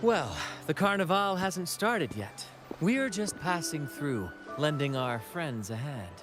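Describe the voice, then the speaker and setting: A showy, upbeat ship's host in a game cutscene, bouncy lively pitch. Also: male voice, takes it slowly, over music. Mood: cheerful. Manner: flamboyant, cheerful.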